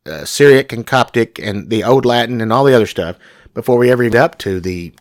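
A man's voice: mid-range voice, animated, a little fast, dry recording, studio quality. A man in his forties, co-hosting a casual talk about Bible interpretation, with a youngish, slightly whiny, country-sounding voice. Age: 40s. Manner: self-described young whiny voice with a country twang. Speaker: podcast co-host.

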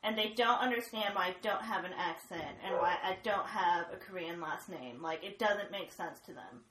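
A woman speaking frankly in a casual panel discussion, animated but dropping low and quiet when serious. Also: normal speed, roomy sound, crowd noise, low-quality recording. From United States.

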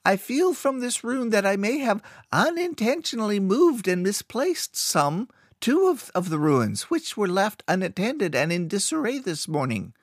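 A frightened, simple-minded servant , as a man puts him on, in a storybook reading. His voice is pushed high and thin with panic, stammering and breathless. The recording is dry and close. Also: very animated, measured pace, few pauses, no echo, exaggerated voice. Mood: frightened pleading. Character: nervous simple male caretaker, frightened and stammering.

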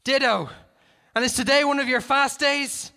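A man's voice: sits high, very animated, extremely slow, pushed and bright, breathy edge, pitched above the performer's own voice, full character voice. A man playing a weary, earnest police negotiator in a comic short story, pleading and calling out across a distance.

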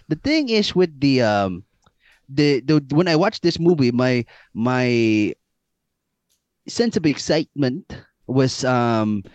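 A man voicing an excitable old Filipino man with a put-on Filipino accent and broken, sing-song grammar, recorded on a muffled, dry mic. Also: mid-range voice, takes it slowly, no echo. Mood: playful.

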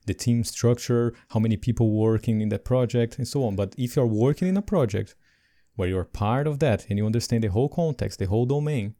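A man with English as a second language, co-hosting a podcast in casual chat, animated and laughing between points. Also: medium pitch, fairly quick, hardly any pauses. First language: not English. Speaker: podcast co-host.

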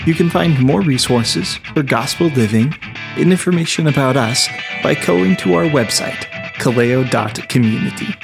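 A man reading a podcast intro in a measured, announcing way. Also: mid-range voice, expressive, vocal fry. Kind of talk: scripted intro. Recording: voice over guitar music. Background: guitar music.

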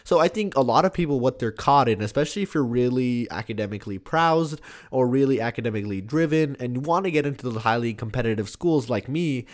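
A man's voice: mid-range voice, lively intonation, fast. A young man, a student applying to college, talking casually and reflectively with lots of "like" and "you know". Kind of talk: casual conversation.

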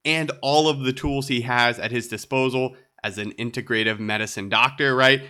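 A man's voice: mid-range voice, fairly even intonation, normal speed, pushed and bright, clear, resonant tone. A man hosting a solo podcast, sounding nasal and congested, upbeat and chatty with light laughs. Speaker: podcast host.

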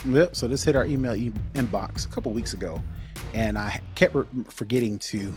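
A man's voice: medium pitch, moderate pace, a little mellow, soft background music. A man co-hosting a podcast in casual chat, explaining things informally and circling back to rephrase. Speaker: podcast co-host.

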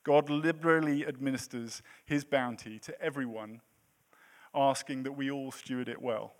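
A man's voice: mid-range voice, fairly even intonation, normal speed. A man in his late thirties preaching a sermon in a church, with a self-deprecating, jokey manner between points. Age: 30s. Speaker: pastor.

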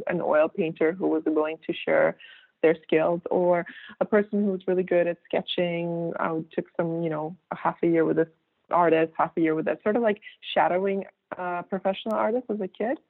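A woman's voice: fairly low pitch, steady tone, brisk, gravelly, no echo. A woman in her thirties from Kazakhstan, a native Russian speaker, chatting casually as an interview guest, rambling with fillers and restarts and laughing easily. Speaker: guest artist. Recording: tinny phone-like line.

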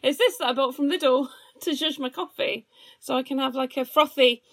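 A woman's voice: sits high, moderate pace, a bit bright. A woman co-hosting a podcast, talking in a casual, chatty style. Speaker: podcast co-host. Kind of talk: casual chat.

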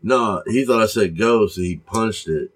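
A man's voice: lowish voice, measured pace. A man hosting a podcast, rambling through casual stories and swearing freely. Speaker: podcast host. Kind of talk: casual profane chat.

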